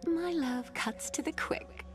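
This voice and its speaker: A woman voicing a seductive, sadistic female demon from a video game, mocking and sultry, with a breathy, whispery, teasing delivery. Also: high voice. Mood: sinister, sultry. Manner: slow, purring, teasing delivery. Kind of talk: video game character voice lines. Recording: music behind the voice.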